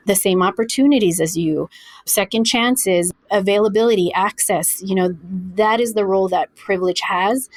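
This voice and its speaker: A woman from El Paso, Texas, a guest in an interview, explaining her views in an earnest, measured way and slowing down on key words. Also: medium pitch, no room sound. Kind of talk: interview answers.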